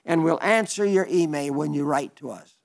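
A man's voice: a little high, moderate pace, creaky. An elderly man preaching a folksy radio sermon, reading listener letters with chatty asides and small stumbles. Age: older.

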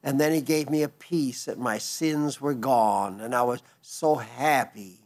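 A man in his nineties giving a broadcast sermon, reading listener letters in a gentle, rambling way with frequent restarts. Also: mid-range voice, measured pace. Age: 90s. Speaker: radio preacher.

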